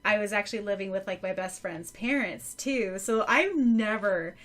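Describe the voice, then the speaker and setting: A woman with a very bright, forward voice at a middling pitch co-hosts a podcast. She talks casually and animatedly, like someone telling a friend a story, dropping in asides as she goes. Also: moderate pace. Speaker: podcast co-host. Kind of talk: casual chatty podcast conversation.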